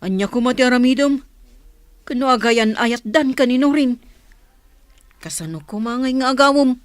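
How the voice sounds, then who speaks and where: A highish, fairly dry voice with an Ilocano accent. A woman plays a frank, reluctant young confidant in a village radio drama. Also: normal speed, no echo, lightly acted. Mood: questioning.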